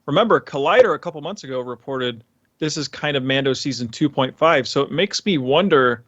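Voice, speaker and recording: A man's voice: mid-range voice, lively intonation, a little fast, a bit bright, dry recording, dull audio. A man speaking as a podcast co-host in casual, enthusiastic, rambling fan chat.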